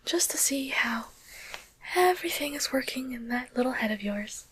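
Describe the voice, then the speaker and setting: A woman's voice: high voice, lively intonation, background noise. Woman acting a whispering, teasing demon doctor. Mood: curious, coaxing. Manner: slow, deliberate, coaxing whisper. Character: demon doctor, female, softly teasing, curious about humans. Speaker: ASMR roleplay performer. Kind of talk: whispered ASMR roleplay. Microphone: close microphone.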